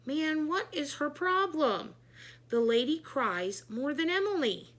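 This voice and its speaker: A woman's voice: high voice, sing-song, slow, fairly bright tone, voice pushed up, exaggerated voice. A woman voicing a cheeky, blunt young schoolboy in a children's storybook, with a sassy, insistent manner. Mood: smug, mocking.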